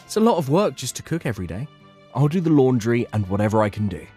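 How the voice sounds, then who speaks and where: A man voicing a gentle, considerate young husband in a manga dub, speaking softly and reassuringly. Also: mid-range voice, very animated, fast, music in the background, lightly acted. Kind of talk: scripted manga dub acting.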